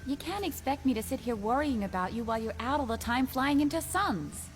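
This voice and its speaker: An actress playing the worried, caring partner of a space pilot in a video game. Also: female voice, high voice, animated, brisk, faint music behind. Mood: worried, pleading. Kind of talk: scripted video game character acting.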